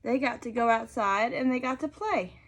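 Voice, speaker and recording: A woman reading a picture book aloud to young children, expressive and often pausing to ask them questions. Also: fairly high pitch, slight background noise. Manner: expressive, prompting listeners with questions.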